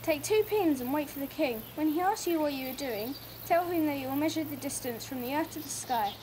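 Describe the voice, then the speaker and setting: Woman doing a smart little fairytale girl, high voice, sure of herself, bit of background noise. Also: lively intonation, brisk, darkish tone. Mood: confident, instructing. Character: clever, confident twelve-year-old peasant girl. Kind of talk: fairy tale character acting.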